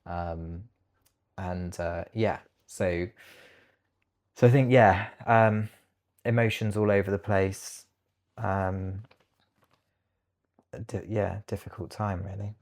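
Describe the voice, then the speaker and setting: A man's voice: deep voice, steady tone, slow, pauses often, a little mellow. A British man in his thirties speaking as an interview guest, recounting personal experiences in a reflective, hesitant way.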